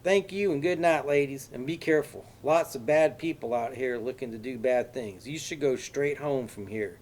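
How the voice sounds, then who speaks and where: A man voicing an older graying man with an exaggerated Texas accent, folksy and courteous but quietly threatening. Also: medium pitch, moderate pace, a little hiss or hum, voice pushed up, lightly acted. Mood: polite menace. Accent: exaggerated Texas drawl.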